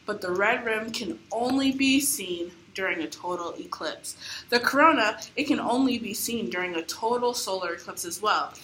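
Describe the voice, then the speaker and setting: A woman's voice: medium pitch, sharp tone. A woman presenting scripted educational narration for a puppet show. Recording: echoey room, faint background noise.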